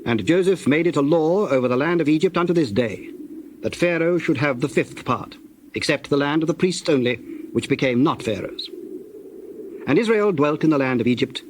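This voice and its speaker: A man narrating scripture from a script in a measured, solemn storytelling manner. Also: on the high side, expressive, slight background noise.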